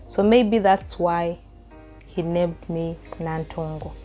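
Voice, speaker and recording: A woman's voice: lowish voice, slow pace, warm tone, faint music behind. A woman in her thirties with a Ugandan accent, chatting casually as a vlogger and reading out viewer questions before answering them with asides and light laughs. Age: 30s. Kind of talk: casual Q&A chat to camera.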